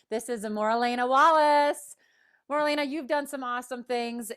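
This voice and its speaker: A woman's voice: fairly high pitch, smooth. Upbeat Minnesotan woman hosting a panel. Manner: upbeat, enthusiastic, quick restarts. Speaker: host.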